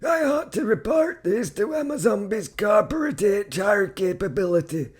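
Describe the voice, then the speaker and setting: A man voicing gruff male zombie delivery workers with a Northern English accent, blunt and chatty. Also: sits high, lively intonation, moderate pace, voice pushed up. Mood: indignant. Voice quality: husky, blunt working-class banter.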